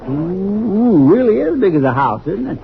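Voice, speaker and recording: An actor playing an excitable, friendly small-town American man in a radio drama. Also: sits high, measured pace, slight background noise, full character voice. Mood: excited. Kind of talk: mid-century American radio drama acting. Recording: dull old radio recording, crowd behind.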